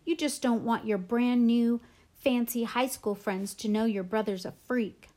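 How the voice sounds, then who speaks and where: A woman's voice: fairly high pitch, steady tone, measured pace, dark tone, natural voice. Woman acting an angry, hurt young boy. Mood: furious, hurt. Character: angry, wounded young boy shouting at his family.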